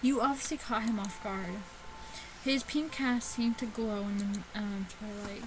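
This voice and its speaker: A woman hosting a gaming video, reading a visual novel aloud in a casual, halting way with stumbles and asides. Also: medium pitch, steady tone, noisy background. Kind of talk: casual chat and read-aloud let's play.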